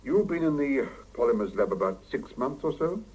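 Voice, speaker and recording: An actor playing a stern middle-aged boss in a 1970s radio drama, clipped and authoritative. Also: male voice, mid-range voice, sing-song, normal speed, a little mellow, husky. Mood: measured, formal. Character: stern middle-aged male laboratory boss, brisk and exacting. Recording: muffled 1975 radio drama cassette recording.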